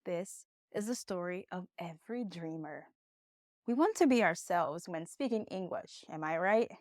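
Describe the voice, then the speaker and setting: A young woman, a Portuguese speaker, giving a conversational online English lesson with encouraging, expressive emphasis. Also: mid-range voice, no echo, studio quality. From Brazil. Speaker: English teacher.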